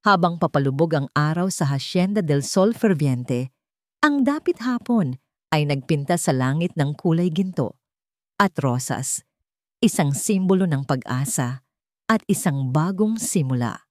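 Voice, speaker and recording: Low woman voice reading a dramatic audio story, scripted narration, really dry sound. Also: expressive, unhurried, a little mellow, dry recording.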